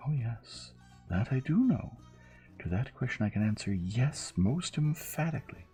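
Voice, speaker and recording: A man voicing a sly, excitable young French lady's maid in an audiobook, with a French accent and an emphatic, pleading manner. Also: deep voice, normal speed, soft background music, voice pushed up. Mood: emphatic, gossipy. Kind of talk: audiobook character voice.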